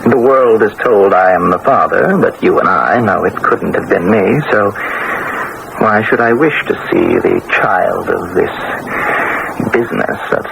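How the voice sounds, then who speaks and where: A man's voice: low-pitched, unhurried, gravelly, heavily acted. A man playing a bitter, detached royal husband in a radio drama, hesitant, cold and resigned. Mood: bitter resignation. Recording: noisy old radio broadcast.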